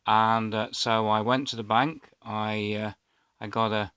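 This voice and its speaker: Middle-aged male vlogger. Hesitant, rambling storyteller, clear smooth tone, very dry close sound. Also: on the low side, flat delivery, a little slow, pushed and bright, dry recording. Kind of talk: casual unscripted personal storytelling to camera.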